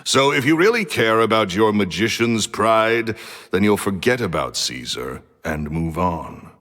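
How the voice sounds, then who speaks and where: An actor playing a short-tempered retired magician who talks in curt, blunt commands. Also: male voice, fairly low pitch, lively intonation, measured pace, fairly bright tone. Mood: stern. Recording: reverb with game music.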